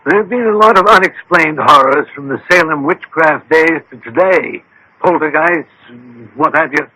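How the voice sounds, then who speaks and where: A wry brainy detective in an old radio play, highish raspy voice, skeptical, unflappable. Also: male voice, moderate pace, sharp tone, rough recording. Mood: skeptical, thoughtful. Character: dry, skeptical male genius detective, scholarly and unflappable. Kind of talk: 1980s radio drama acting. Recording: old radio drama broadcast.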